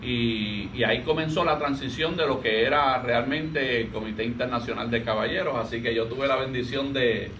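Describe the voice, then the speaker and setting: Puerto rican man in his 40s, pastor, soft halting talk, mic kinda far off w/ faint hum. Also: mid-range voice. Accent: Puerto Rican Spanish. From Puerto Rico. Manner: soft-spoken, halting, frequent restarts. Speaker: pastor, interview guest. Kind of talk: relaxed conversational interview. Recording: distant mic with faint ambient noise.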